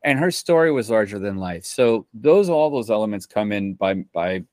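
A man's voice: lowish voice, animated, moderate pace, darkish tone, no room sound, studio quality. A man who composes for film and television, talking in a loose, rambling way, full of slang and restarts, in a casual interview. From Central America.

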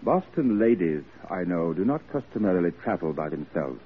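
A man's voice: low-pitched, very animated, moderate pace, breathy edge, narrow, tinny audio, heavily acted. An actor playing a pompous, condescending legislative chairman in an old radio drama, formal and sardonic. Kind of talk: classic American radio drama acting.

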